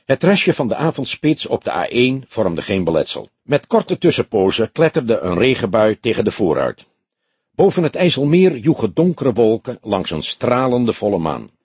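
A man's voice: medium pitch, very animated, moderate pace, a little mellow, breathy edge, no room sound. A man reading a detective novel aloud as scripted narration. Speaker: audiobook reader.

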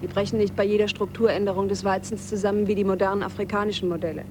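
A woman's voice: mid-range voice, fairly even intonation, rapid-fire, background noise, lightly acted. A woman acting a matter-of-fact biologist who delivers a composed letter report in a radio drama.

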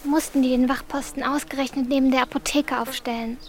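A woman's voice: high-pitched, flat delivery, brisk, lightly acted. A woman playing a small, childlike thirteen-year-old girl, anxious yet stubborn, in a radio play. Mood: questioning. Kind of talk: German radio play acting.

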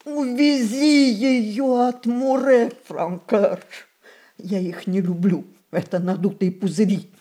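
A woman's voice: mid-range voice, fairly even intonation, slow, dark tone. A very old matriarch in a radio play, full of spirit and rebellion. She is imperious and theatrical, commanding and exclaiming with romantic fervour. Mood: passionate, commanding. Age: older. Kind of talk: stage-style radio play acting.

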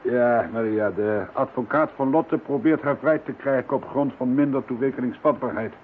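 A senior police officer, played by a man, measured, dry and kindly in his authority. His mid-pitched voice comes through a narrow-band radio drama recording with a little noise. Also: natural voice. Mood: earnest, dry. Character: dryly humorous senior police officer, commanding yet kindly.

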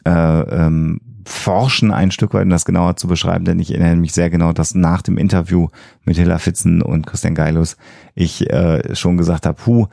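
A man with a low, gravelly voice chats casually as a podcast co-host. His tone is mellow, and he pauses often to find his phrasing. The sound is close and dry. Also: flat delivery, no room sound.

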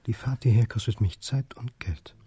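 A man's voice: medium pitch, normal speed, dark tone, husky. An actor playing a polite but insistent history lecturer in his forties in a radio drama. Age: 40s. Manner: polite, insistent, slightly annoyed. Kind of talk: German radio drama acting.

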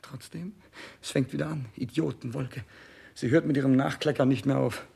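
A man's voice: mid-range voice, fairly even intonation, moderate pace, creaky, slightly rough, heavily acted. An actor playing an imperious, petulant, ailing king in denial, pompous and capricious, in a 1960s radio play. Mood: grumbling, feeble. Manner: capricious, pompous, swinging from shouts to mutters.

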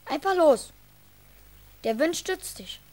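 A woman's voice: sits high, animated, slow, darkish tone. A woman playing a protective, slightly cheeky schoolboy in a radio play, childlike and plain-spoken. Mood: urgent, helpful.

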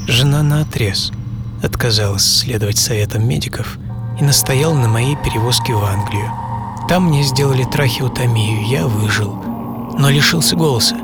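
A man's voice: mid-range voice, normal speed, loud music behind the voice, lightly acted. A man playing a witty, ironic English physicist reflecting on his life in a theatrical radio monologue. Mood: wry, reflective. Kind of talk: dramatised first-person monologue, radio play.

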